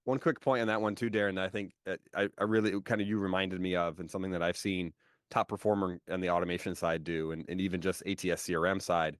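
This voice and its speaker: Male host, low voice, easygoing and chatty, dry close sound. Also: flat delivery, fast, dry recording. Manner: conversational, with frequent "kind of" and "right?" checks. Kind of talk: business podcast interview.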